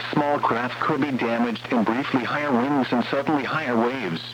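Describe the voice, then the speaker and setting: Male automated-style announcer for marine warning bulletins, mid-pitched and formal, on muffled radio with faint hum. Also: a bit bright. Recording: muffled weather radio broadcast, noisy hum.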